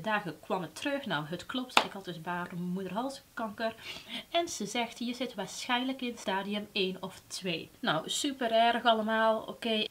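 A woman in her late twenties tells a personal story to camera, candid and conversational, speeding up while recounting her thoughts and dropping soft on grave facts. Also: medium pitch, a little hiss or hum. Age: late 20s. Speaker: beauty vlogger. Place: indoor room before a plain backdrop.

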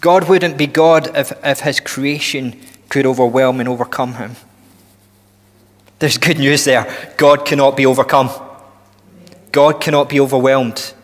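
A man in his thirties preaching a sermon in a church hall on a headset mic, earnest and deliberate, often restarting and building emphasis. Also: a little high, fairly bright tone. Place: church hall with long reverb.

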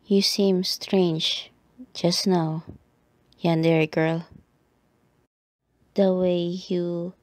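A woman acts out a reluctant tsundere girl in an ASMR roleplay. Her voice is mellow, with plenty of fry. She talks very slowly in short, halting phrases, easily flustered. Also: lowish voice, dry recording, clean studio sound, full character voice. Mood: uneasy, worried.

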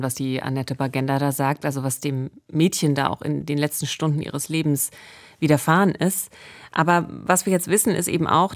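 Low-voiced woman telling true crime. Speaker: true-crime podcast host. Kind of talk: podcast storytelling, conversational. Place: small wood-panelled podcast studio. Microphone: studio mic with pop filter, headphones.

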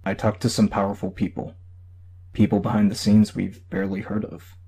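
A man's voice: lowish voice, level tone, a little fast, gravelly, dark tone, husky. A man voicing a secretive, matter-of-fact superhero in an audiobook. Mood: grave.

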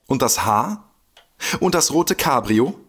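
A would-be detective in a comic radio play. His voice is husky and very animated. He sounds self-important and is easily exasperated. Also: medium pitch, takes it slowly, exaggerated voice. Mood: smug. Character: bumbling, smug would-be detective, easily indignant man. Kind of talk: comedic audio drama acting.

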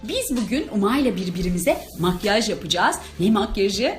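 A playful woman host with a very lively, swooping delivery, chatting excitedly to camera for children. Her voice echoes around the room, with light music underneath. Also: mid-range voice, brisk. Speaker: host of a kids' video channel. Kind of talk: playful casual chat to camera during a makeup challenge. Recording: roomy echo with background music.

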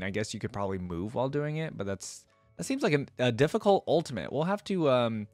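Young guy doing casual game reaction, thinking out loud, really dry mic, music behind. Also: mid-range voice, no echo. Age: young adult. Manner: conversational, thinking aloud. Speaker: reaction video host. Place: small indoor room at a desk. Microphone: close desk microphone with headset worn.

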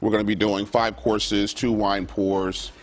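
A man's voice: lowish voice, level tone, measured pace. A man, a chef, promoting a benefit dinner on a live TV cooking segment, easygoing and enthusiastic. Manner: easygoing, enthusiastic, laughs and cheers on. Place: TV studio kitchen set. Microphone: lapel mic.

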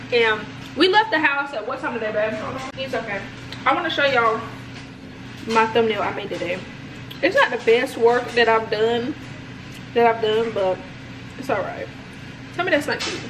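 A woman's voice: on the high side, lively intonation, measured pace, pauses often. A young woman talking casually to her camera at home as a vlogger, chatty and rambling, often calling her viewers "y'all". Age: young adult. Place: home room indoors, bathroom later. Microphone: phone or camera propped low, close. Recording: echoey room with noise.

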